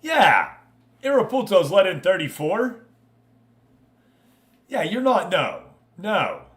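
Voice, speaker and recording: A man's voice: sits high, huge pitch swings, takes it slowly, a bit bright. A man hosting an online football management game league, chatting casually and informally with his viewers as he commentates.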